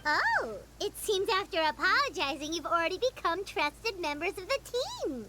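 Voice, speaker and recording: Paimon, a squeaky tiny fairy girl. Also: lively intonation, moderate pace, pushed and bright, full character voice. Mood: chirpy. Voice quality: squeaky, childlike. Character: Paimon, small childlike girl fairy companion, excitable, timid. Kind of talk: animated video game voice acting. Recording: game music behind the voice.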